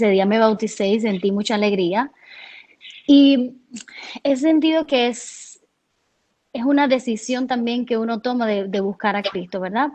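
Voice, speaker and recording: A woman from Cuba with a Cuban accent, telling her personal faith story in an earnest, halting way, often restarting her words. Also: a little high. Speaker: guest sharing her testimony.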